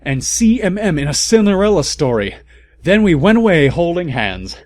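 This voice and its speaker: A man's voice: on the high side, big pitch swings, moderate pace, a little mellow. A man giving a theatrical comedic reading of fan fiction, breaking in with incredulous asides. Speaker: comic reader. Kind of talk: comedic dramatic narration of fan fiction with interjections.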